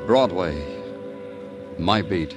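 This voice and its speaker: An actor playing a brooding, weary police detective who narrates a 1950s radio drama in a solemn, poetic, deliberate manner. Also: male voice, low voice, lively intonation, exaggerated voice. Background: music, guitar.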